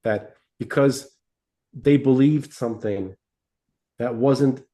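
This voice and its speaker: A man's voice: mid-range voice, slow, warm tone. A man co-hosting a podcast, talking through his views in a rambling way, with frequent restarts and tag questions like "right?" and "okay?". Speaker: podcast co-host. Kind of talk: casual religious discussion.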